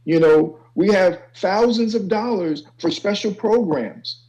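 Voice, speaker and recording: A man's voice: high voice, a little slow, darkish tone, dull audio. A man speaking earnestly and conversationally on an informal panel as a guest college counselor, often adding "you know".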